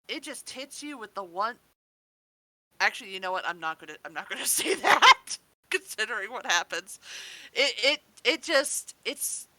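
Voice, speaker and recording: A woman chatting casually as a podcast reviewer, gushing and excitable, swearing freely. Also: mid-range voice, no room sound, poor audio.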